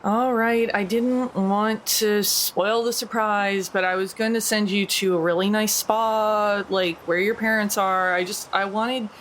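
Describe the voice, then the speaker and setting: A woman's voice: mid-range voice, steady tone, measured pace, hardly any pauses, fairly bright tone, a little hiss or hum. A woman, a tabletop game player improvising in-character dialogue in a casual, hesitant everyday voice.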